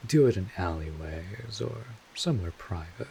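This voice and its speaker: A man playing a world-weary but kindly old vampire mentor in an audio roleplay, speaking informally and in measured phrases with wry asides. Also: sits low, animated, vocal fry, darkish tone, a little hiss or hum. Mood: frank, advising. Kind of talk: second-person audio roleplay monologue.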